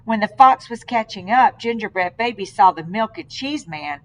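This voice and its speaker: A woman reading a children's story aloud in an expressive way, with dramatic, deliberate phrasing. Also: mid-range voice, low-quality recording. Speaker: storybook reader.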